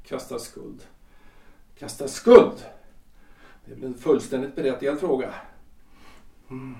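An actor playing a troubled husband in his forties, a politician of 1906, in an audio drama with halting, repetitive, formal speech. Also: medium pitch, creaky, mellow, lightly acted. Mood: indignant, sarcastic. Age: 40s. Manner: halting, repeats words, formal. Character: wounded, agitated husband, lawyer and politician of forty-three. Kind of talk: period stage-play audio drama acting. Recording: roomy, distant from the mic.

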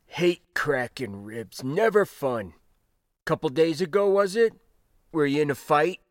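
Guy doing old spacer cargo hand, slow n folksy, bit higher voice, proud old timer rambling, audiobook style. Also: animated, no room sound, clean studio sound. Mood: wry, friendly. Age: older.

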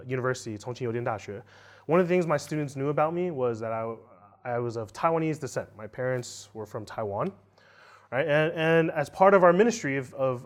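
A man in his thirties preaching a sermon in a conversational way, tagging lines with "right?" and restarting phrases to repeat a point. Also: on the high side.

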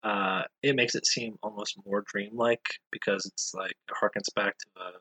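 A man co-hosting a comics podcast, making thoughtful, measured remarks. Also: lowish voice, pushed and bright, breathy edge, poor audio. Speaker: podcast co-host. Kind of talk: casual book club discussion.

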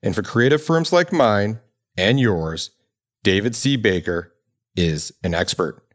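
A man's voice: on the low side, animated, measured pace. A man hosting a podcast, reading a guest introduction and then asking questions with some restarts. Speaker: podcast host.

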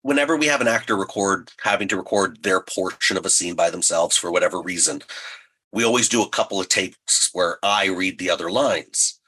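A man's voice: low voice, fairly quick, sharp tone, slightly rough. A man chatting effusively and enthusiastically in a casual interview, as an audio drama writer and director appearing as a guest.

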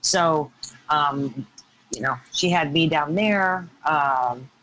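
A woman's voice: low-pitched, takes it slowly, a bit bright, a little hiss or hum, rough recording. A woman in her fifties with an American accent, telling stories casually as a podcast guest. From Ohio, United States. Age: 50s. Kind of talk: casual conversational storytelling.

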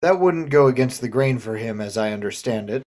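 A man's voice: mid-range voice, lively intonation, darkish tone, close to an everyday voice. Man playing a patient, probing detective. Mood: polite, inquisitive. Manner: measured, polite, insistent questioning. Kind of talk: dramatic reading of comic murder mystery.

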